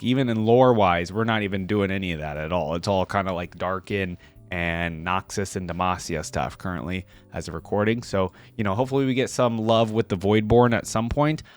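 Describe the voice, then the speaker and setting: A man's voice: on the low side, clean tone. A young man in a casual, informal reaction-video style, commenting and reading text aloud on a close microphone. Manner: informal, slangy.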